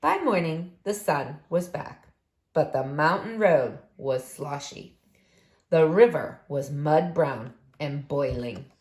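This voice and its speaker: Slow, lively woman reading in an echoey room. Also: low voice. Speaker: storybook reader. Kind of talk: expressive children's read-aloud narration. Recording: roomy, echoing room sound.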